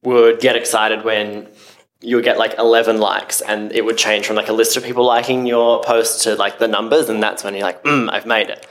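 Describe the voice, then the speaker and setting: Easygoing man, fairly low voice. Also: steady tone, normal speed, fairly bright tone. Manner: easygoing, says "like" a lot. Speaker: podcast guest. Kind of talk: casual podcast chat.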